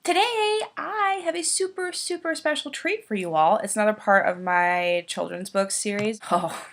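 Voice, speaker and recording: A woman's voice: mid-range voice, expressive, moderate pace. A woman with a head cold, sniffling as she mocks a children's book in a sarcastic, profane casual commentary. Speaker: YouTuber.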